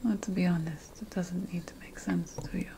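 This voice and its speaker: A woman playing an ancient witch priestess, a patient and lofty potion scholar, in a hushed, deliberate ASMR fantasy roleplay. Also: lowish voice, fairly even intonation, noisy background, close to an everyday voice. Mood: hushed, condescending. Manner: hushed, deliberate, lingering over lists.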